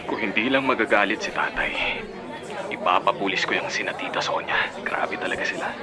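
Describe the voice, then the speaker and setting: A man's voice: fairly low pitch, very animated, fairly quick, over a noisy crowd. A man playing an outspoken, generous elder brother in a Filipino radio drama, with a Filipino accent and an emphatic, sardonic delivery. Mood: indignant.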